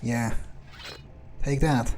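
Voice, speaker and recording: A man's voice: mid-range voice, steady tone, very slow, background noise. A man playing a video game in character as a vampire, musing aloud in a smug, menacing, drawling way.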